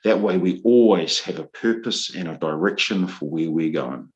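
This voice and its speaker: A middle-aged man with a New Zealand accent, a guest on a podcast, speaking earnestly and pausing with frequent ums and uhs. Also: low voice, highs cut off. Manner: earnest, searching for words with many ums and uhs. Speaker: guest, men's leadership mentor. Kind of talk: podcast interview.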